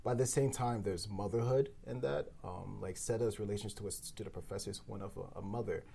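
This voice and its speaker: A man's voice: fairly low pitch, fairly quick, gravelly, dark tone, slight background noise. A man co-creating a podcast, talking through ideas in a thoughtful, rambling way with many fillers. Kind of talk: casual round-table discussion.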